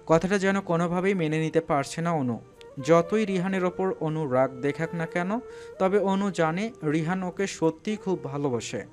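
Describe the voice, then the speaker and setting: A man narrates a scripted romantic audio story. His voice sits a little high for a man, and faint music plays behind his storytelling.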